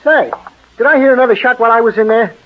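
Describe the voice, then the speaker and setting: An American man playing a nervous, hesitant witness to a killing, in 1940s radio crime style. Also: very high voice. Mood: agitated. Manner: hesitant, halting. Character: nervous, hesitant male bystander, a murder witness at the police station. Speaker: radio actor. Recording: muffled old radio broadcast.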